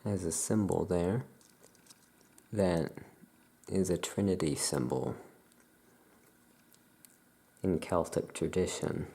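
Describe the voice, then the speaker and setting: Slow, low, creaky soft-spoken ASMR voice. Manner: soft, unhurried, calm, with long pauses. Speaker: ASMR host playing a Salem witch shopkeeper.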